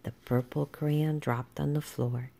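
A woman narrates a children's picture book in a low-pitched voice. Her delivery is slow and unhurried, with a soft, mellow tone suited to reading aloud. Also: steady tone, creaky. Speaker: storybook reader.

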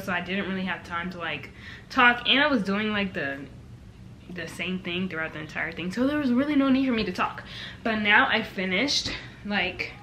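Young woman rambling about her knitting, lots of likes, desk camera in a small room, audible background hiss. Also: medium pitch. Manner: relaxed, rambling with many fillers. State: stuffy from a cold. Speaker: knitting vlogger. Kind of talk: casual chat to camera. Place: small bedroom.

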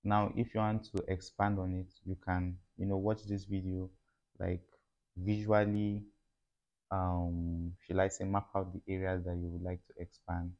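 A young Nigerian man talks to the camera in a low, even voice, calm and advisory, pausing on fillers as he explains. His tone is mellow and a bit dull, recorded on a clip-on mic indoors. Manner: measured, advisory, with frequent fillers. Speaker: factory owner teaching business setup. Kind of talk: instructional talk to camera. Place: indoor room with curtains. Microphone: lapel mic.

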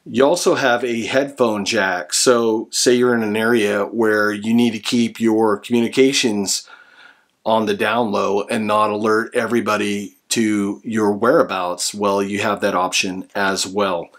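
A man's voice: on the low side, steady tone, a little slow, fairly bright tone. American man presenting a gear review. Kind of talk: explanatory product review to camera. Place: small room indoors at home.

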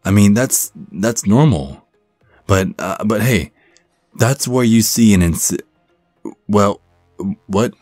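A man's voice: lowish voice, animated, slow, pauses often, darkish tone, no room sound, clean studio sound, soft background music. A man voicing a supportive, flirty werewolf gym bro in an ASMR roleplay, with an encouraging, stammering manner. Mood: friendly, coaching. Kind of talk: ASMR roleplay, one-sided conversation.

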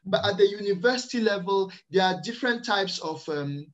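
Male interview guest, middle-aged, fairly high register, measured and halting personal account over a video call. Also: lively intonation, fairly bright tone, dull audio. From Ghana, the Netherlands and France. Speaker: interview guest, early years teacher. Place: small plain room indoors, on a video call. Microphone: computer microphone on a video call.

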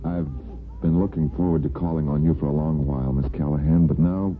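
A man's voice: extremely low pitch, steady tone, a little fast, a little hiss or hum. An American man playing an ardent, plain-spoken bachelor neighbor in an old-time radio soap opera, with earnest, folksy phrasing. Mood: grim, earnest. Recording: muffled vintage radio broadcast with music.

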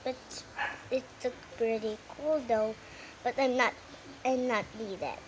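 A young woman casually commenting while playing a game, soft and hesitant, with many fillers. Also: on the high side, slow pace. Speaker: gamer. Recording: loud background noise.

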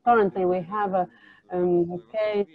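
A woman working as a simultaneous interpreter at a panel discussion, speaking in halting, separate phrases. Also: fairly low pitch, fairly even intonation, soft background music. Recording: narrow, tinny phone-like line.

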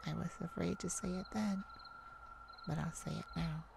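Casting: tender, emotional vampire acted by a woman, lowish voice with gravelly fry, hesitant delivery for intimate ASMR roleplay. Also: steady tone, background noise. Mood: tender, vulnerable. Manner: hesitant, emotional, halting with sighs and pauses. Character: tender, wounded, lovesick vampire speaking to a hunter.

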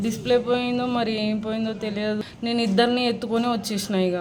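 A woman's voice: fairly high pitch, level tone, fast, music in the background. A young woman with a Telangana Telugu accent talks casually on a phone call in a plaintive, troubled manner. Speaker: vlogger making a prank phone call. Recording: clearly noisy background ambience.

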